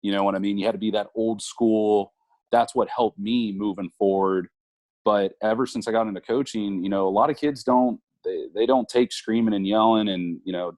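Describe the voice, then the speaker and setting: A man's voice: sits low, a little mellow, no room sound. A man in his thirties, a college baseball coach, talking earnestly in an interview with many fillers and restarts. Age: 30s. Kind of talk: conversational interview.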